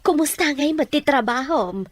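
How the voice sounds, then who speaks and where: A woman's voice: high voice, lively intonation, moderate pace, no room sound. A woman playing a tender, struggling working mother in a serial drama. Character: tender, poor factory-worker mother missing her child. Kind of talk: Ilocano radio-style serial drama acting.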